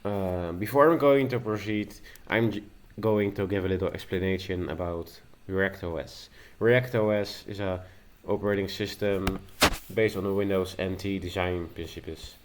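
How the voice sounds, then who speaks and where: Man, deep voice, nervous and hesitant, casual tutorial host. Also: normal speed, few pauses. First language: Dutch. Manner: nervous, hesitant, with many fillers and restarts. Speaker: tech video host. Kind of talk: casual screen-recording tutorial.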